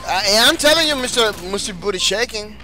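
A man's voice: high-pitched, animated, fast talker, over music. A man from the Dominican Republic with a Spanish accent, a game streamer chatting energetically with viewers and restarting his phrases often. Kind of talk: live-stream chat, reading viewer comments.

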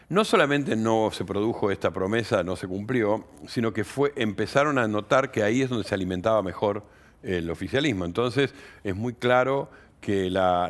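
A man in his sixties with an Argentine accent, explaining things analytically as an interview guest and often adding tag questions. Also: fairly low pitch. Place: indoor studio set. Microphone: headset.

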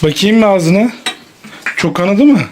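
A man's voice: sits high, expressive, normal speed. A man speaking casually as a parent in a family vlog, coaxing and asking questions, often with a chuckle. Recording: noisy home recording with clicks.

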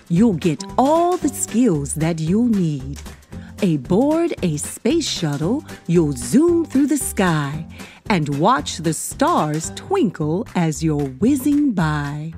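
A woman voicing a grand, kindly fairy godmother in a storybook, encouraging and measured. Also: medium pitch, a little mellow, pitched below the performer's own voice.

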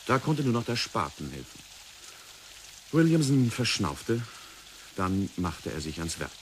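A man narrating a 1960s radio drama in a measured, dramatic storytelling manner. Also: lowish voice, big pitch swings, slight background noise.

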